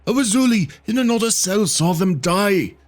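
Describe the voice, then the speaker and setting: Shrill frenzied old chief, screeching accusations, thin strained timbre, read by a man in a storybook, faint music behind. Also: animated, normal speed. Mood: furious accusing.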